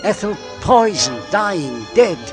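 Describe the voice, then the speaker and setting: A man's voice: high-pitched, very animated, slow pace, heavily acted. A man acting a nervous businessman who narrates an old radio drama. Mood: frantic. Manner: tense, hushed, rising to frantic. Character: anxious middle-aged English businessman, narrator. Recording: old radio broadcast with music.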